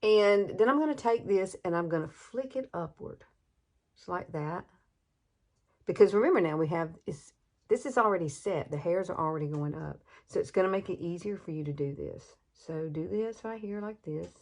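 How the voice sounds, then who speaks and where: A woman's voice: fairly low pitch, lively intonation, moderate pace, a little mellow. Chatty southern woman in her sixties. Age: 60s. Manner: chatty, folksy, laughs often. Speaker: beauty vlogger. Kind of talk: makeup tutorial chat. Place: bedroom at home. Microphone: phone camera, no external mic.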